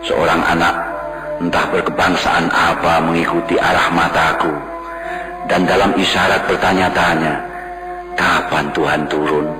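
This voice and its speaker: A man giving a solemn, contemplative poetry recital in a slow, devotional manner. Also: sits low, little pitch movement. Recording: roomy echo with music clearly underneath.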